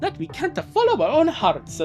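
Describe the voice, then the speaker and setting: A man's voice: very high-pitched, huge pitch swings, moderate pace, over music, pitched above the performer's own voice, heavily acted. A man voicing a lovestruck teenage boy who pleads earnestly and melodramatically in a fan comic dub. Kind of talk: hammy fan comic dub with bursts of singing.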